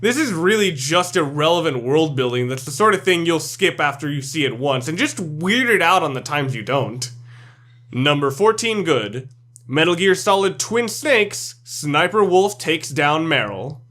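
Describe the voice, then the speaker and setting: Guy doing scripted top list commentary, voice a bit high, blunt and full of opinions. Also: animated, measured pace, a bit bright. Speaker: narrator of a video game ranking list.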